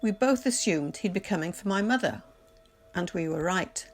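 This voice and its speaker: A woman reading poetry aloud in a measured, literary recitation, deliberately pausing phrase by phrase. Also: lowish voice, expressive. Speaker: poetry reader. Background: music.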